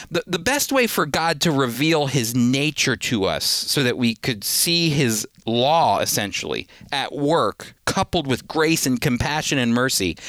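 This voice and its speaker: A man's voice: medium pitch, a bit bright, no room sound. A man teaching the Bible in an earnest, emphatic way, often repeating a word as he starts a point.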